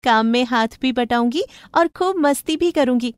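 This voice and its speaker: Young village woman, grateful, sunny, earnest, pitched up, dry recording, performed by a female narrator. Animated bedtime tale. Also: moderate pace, clear, resonant tone, dry recording, natural voice. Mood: cheerful, grateful. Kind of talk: animated moral story dialogue.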